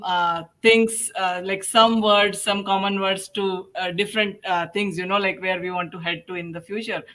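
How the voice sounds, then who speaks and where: A woman hosting an informal panel, speaking in a halting way and often breaking toward a laugh. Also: mid-range voice, a bit bright. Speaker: podcast host and panel moderator.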